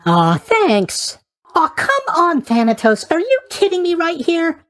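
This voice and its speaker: A man's voice: very high voice, wildly swooping pitch, slow, fairly bright tone, exaggerated voice. A man voicing a chatty, eager-to-please young god of sleep in a video game, rambling along with bubbly asides. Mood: friendly. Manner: rambling, bubbly, hesitant asides, tag questions. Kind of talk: video game character voice acting.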